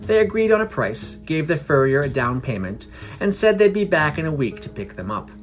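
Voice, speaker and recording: A man reading a scripted fantasy story in a measured storytelling manner. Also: a little high, big pitch swings. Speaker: podcast narrator. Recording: music under the voice. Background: music.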